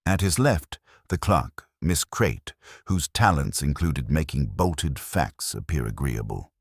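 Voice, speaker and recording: Very deep-voiced man narrating, dry and measured. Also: lively intonation, creaky, breathy edge, dry recording. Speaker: narrator of a mystery drama. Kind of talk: scripted literary mystery narration.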